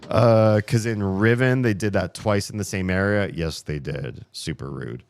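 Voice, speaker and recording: A man streaming a puzzle game, grumbling sarcastically about the puzzles while his voice is going hoarse. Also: on the low side, unhurried. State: losing his voice. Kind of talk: casual live-stream commentary.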